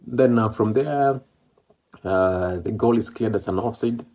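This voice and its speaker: A man's voice: fairly low pitch, measured pace, a little mellow. A man from East Africa, speaking English as a second language, talks casually about a football match and rambles with many fillers and repeats. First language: Swahili or Maloko. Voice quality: gravelly, husky. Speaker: football vlogger.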